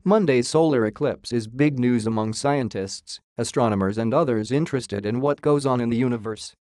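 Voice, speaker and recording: A man reading a sports news article aloud in an even, steady way, quotes included. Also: medium pitch, moderate pace, no echo, clean studio sound. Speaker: news reader.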